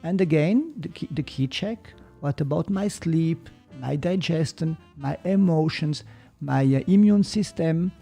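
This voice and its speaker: A man with a Swiss German accent talks through ideas slowly, stopping now and then to find an English word. His voice has a gravelly edge and sits a little higher than most men's. Faint music plays softly behind him. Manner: slow, thoughtful, gets stuck on English words. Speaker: podcast guest, martial arts teacher. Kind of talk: reflective explanatory talk.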